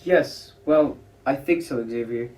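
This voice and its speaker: A man's voice: medium pitch, slow pace, darkish tone, natural voice. A man playing a dryly joking cast member in a 1940s radio comedy. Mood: wry. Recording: distant from the mic.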